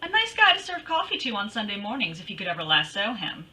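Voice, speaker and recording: A woman's voice: mid-range voice, huge pitch swings, fast, sharp tone, heavily acted. A woman playing a wry, confident, wisecracking private detective in old-time radio style, breezy and flippant. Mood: wry narrating. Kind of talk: 1940s radio acting, narration and dialogue.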